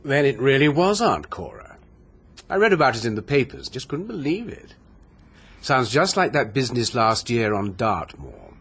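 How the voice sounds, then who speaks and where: An actor playing a shifty, money-hungry nephew with an English accent, breezy, casual and evasive in a radio drama. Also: male voice, medium pitch, huge pitch swings, moderate pace, a bit bright, breathy edge, exaggerated voice. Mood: casual surprise. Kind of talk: radio mystery drama acting.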